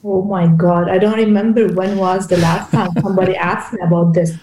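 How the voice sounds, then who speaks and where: A woman from Lebanon, a coach, talking casually as an interview guest, laughing easily and pausing with fillers. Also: lowish voice, steady tone, dark tone, roomy sound, slight background noise, poor audio.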